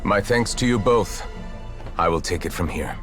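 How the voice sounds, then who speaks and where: A man voicing a solemn, powerful judge in a video game, with formal, grave and deliberate delivery. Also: low-pitched, expressive, a bit bright, soft background music. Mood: calm resolve. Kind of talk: video game cutscene acting.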